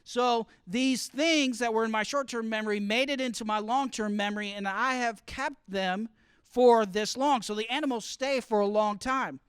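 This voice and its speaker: A man giving a lively teaching talk to students, often asking the room questions as he explains. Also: extremely high pitch, moderate pace, smooth, no echo, clean studio sound. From grew up partly in Costa Rica. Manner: asks the audience questions, explains with extended metaphor. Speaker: college speaker teaching study skills. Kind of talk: interactive classroom talk.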